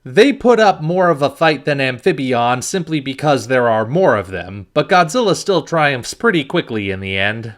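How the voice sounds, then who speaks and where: A man's voice: medium pitch, big pitch swings, moderate pace, hardly any pauses. A man reading a scripted review as host, with a wry, critical manner.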